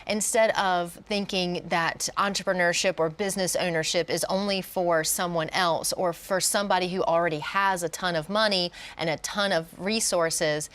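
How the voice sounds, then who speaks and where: Female college educator as interview guest, lower voice, encouraging and explanatory. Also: fairly bright tone.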